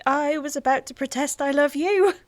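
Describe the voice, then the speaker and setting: A woman playing a proud, sharp-witted young noblewoman in a Shakespearean radio play, halting and emotional, guardedly in love. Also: high voice, no echo, natural voice. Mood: flustered love. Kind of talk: Shakespearean radio drama acting.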